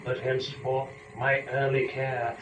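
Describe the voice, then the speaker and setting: Male actor as young fallen woman, rapturous and scheming; epic verse drama, very slow delivery, distant noisy recording. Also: mid-range voice, monotone, natural voice. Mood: rapturous reverence. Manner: measured, theatrical, deliberate phrasing. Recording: noisy, dull, echoey room from a distance.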